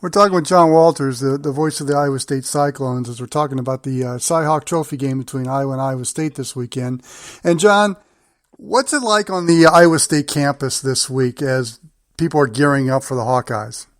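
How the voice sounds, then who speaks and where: An American man hosting a sports interview, putting his questions in halting, deliberate phrases. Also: mid-range voice, no echo, studio quality. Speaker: sports show host, interviewer.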